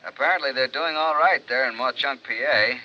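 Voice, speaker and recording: A man playing a brisk, helpful colleague on a telephone in a 1950s radio drama, chatty and informal. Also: medium pitch, lo-fi sound. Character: brisk, helpful male colleague reporting by phone. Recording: thin noisy vintage radio phone sound.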